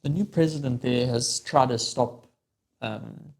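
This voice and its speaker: A man's voice: mid-range voice, unhurried, gravelly, darkish tone. A young man, a researcher guest in casual chat, talking with lots of fillers and thinking aloud. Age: young adult.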